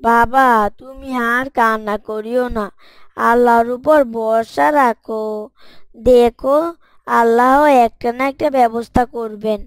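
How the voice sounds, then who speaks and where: Devout child voiced by a woman, gentle, reassuring, fairly high, dry recording, moral cartoon. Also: fairly even intonation, a little slow, no echo. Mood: consoling. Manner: reassuring, obedient. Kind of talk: Islamic moral story cartoon acting.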